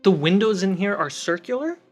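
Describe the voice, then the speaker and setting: A game master narrates a tabletop fantasy scene at a middling pitch, setting out places and characters, with music playing under the voice. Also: very animated, fairly quick.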